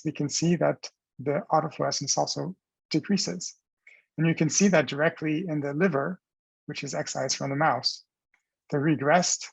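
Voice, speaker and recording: A man giving a scientific research presentation as a professor, explaining in a measured, halting way with restarts. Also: a little high, vocal fry, husky, no room sound, low-quality recording.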